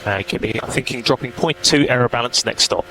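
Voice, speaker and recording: A man's voice: mid-range voice, a little fast, vocal fry. A man working as a race engineer, giving terse, matter-of-fact technical instructions and numbers over team radio. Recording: noisy team radio, engine and car noise.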